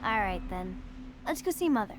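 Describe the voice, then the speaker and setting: A woman's voice: medium pitch, lively intonation, moderate pace, noisy background, close to an everyday voice. A woman voicing a young girl, an eager, playful royal daughter, in a video game.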